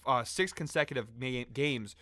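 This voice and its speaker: A young man, sick and losing his voice, running through a fast sports betting breakdown in a brisk, number-heavy way with quick fillers and self-corrections. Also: mid-range voice, pushed and bright, no room sound, studio quality.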